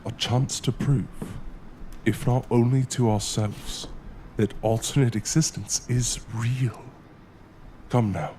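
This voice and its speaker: An actor playing a determined, adventurous scholar in a period horror audio drama, speaking in a formal, old-fashioned, earnest way. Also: male voice, medium pitch, steady tone, slow, warm tone, slight background noise, natural voice. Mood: earnest, insistent. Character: determined, adventurous male scholar exploring ancient ruins.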